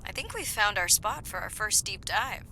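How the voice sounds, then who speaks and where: A woman playing a thoughtful, earnest deep-sea scientist in a game, speaking naturally and reflectively. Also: expressive, moderate pace, pushed and bright, a little hiss or hum, poor audio. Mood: focused. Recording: music under the voice.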